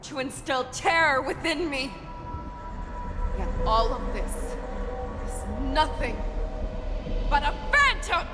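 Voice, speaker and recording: A woman's voice: sits high, lively intonation, slow pace, long pauses. A defiant female general. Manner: defiant, firm declarations. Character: resolute female general defying her fears. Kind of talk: video game cutscene acting. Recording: game mix with long reverb and loud music.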